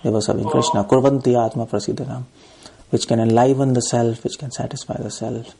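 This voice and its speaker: A man's voice: mid-range voice, fairly even intonation, brisk, gravelly, background noise. A man with an Indian accent lecturing on scripture as a preacher, reciting verses and driving points home in short, repeated phrases. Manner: emphatic, repetitive phrasing in short bursts.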